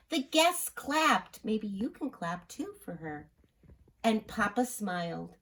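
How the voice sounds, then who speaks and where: A woman's voice: medium pitch, slightly rough, low-quality recording. A woman reading a children's story aloud, expressively, sometimes turning to address her listeners.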